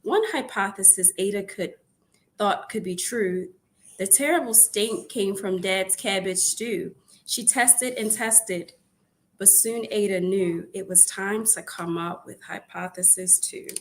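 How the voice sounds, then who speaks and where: A woman's voice: mid-range voice, measured pace, low-quality recording. A woman storyteller reading a children's picture book aloud, expressively and with phrases repeated for emphasis. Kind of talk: read-aloud bedtime story narration.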